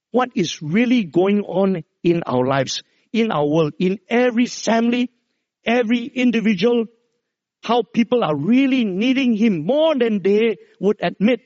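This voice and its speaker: A middle-aged preacher with a loud, high-pitched voice delivers a Christmas sermon. He tells stories and drops low to stress key words. The recording is dry and somewhat muffled. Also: no room sound. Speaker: pastor. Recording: dull, dry lo-fi sound.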